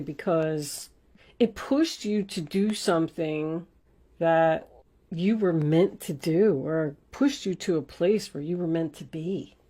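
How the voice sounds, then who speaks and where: A woman with a slightly low voice gives an informal tarot reading. She speaks straight to the listener as host, conversational and emphatic. Her manner is reassuring. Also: mellow.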